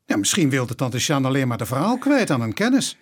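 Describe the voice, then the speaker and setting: An actor playing a sceptical, businesslike police inspector in a radio drama, with a dry, formal way of reasoning. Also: male voice, mid-range voice, big pitch swings, fairly quick, slightly rough.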